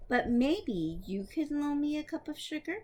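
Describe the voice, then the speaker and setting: A woman's voice: high voice, sing-song, normal speed, mellow, voice pushed up. A woman voicing a wronged male storybook wolf who excuses himself with mock innocence and dramatic storytelling. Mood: self-justifying. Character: wronged male wolf telling his side, self-excusing.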